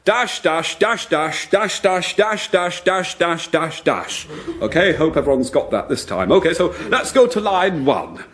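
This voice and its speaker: Casting: a man playing a bouncy, rambling kids' radio presenter, slightly high and animated. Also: unhurried. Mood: chirpy. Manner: chirpy, rambling, lively presenter patter. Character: jolly middle-aged male children's radio presenter. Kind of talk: sketch comedy acting.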